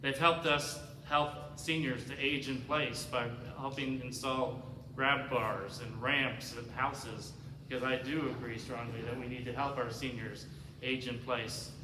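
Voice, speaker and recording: A man speaking earnestly as a candidate at a public forum, now and then stumbling over fillers. His voice is of middling pitch and rings through a large hall with a long tail of reverb. Steady background noise sits beneath him. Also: unhurried. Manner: earnest, with some fillers and self-corrections. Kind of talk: prepared forum remarks. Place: large community cultural center hall.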